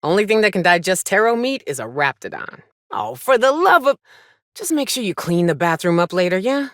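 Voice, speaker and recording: A woman voicing a gruff, tough but caring frontier monster hunter in a video game, with wry, folksy, blunt delivery. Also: medium pitch, very animated, brisk, fairly bright tone, no room sound, studio quality. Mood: wry, sardonic. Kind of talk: video game character dialogue.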